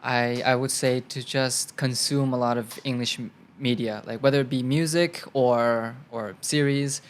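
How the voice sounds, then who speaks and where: Relaxed young man, Thai native speaker. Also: mid-range voice, a little slow, slight background noise. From Thailand. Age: young adult. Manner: relaxed and chatty, thinks aloud with many fillers. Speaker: guest actor. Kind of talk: casual interview answers.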